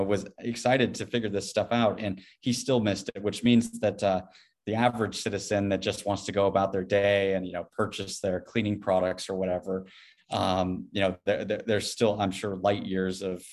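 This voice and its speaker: Low-voiced man in casual conversation. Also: level tone, moderate pace. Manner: rambling, hedging with frequent "you know". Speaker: podcast guest, Bitcoin company CEO.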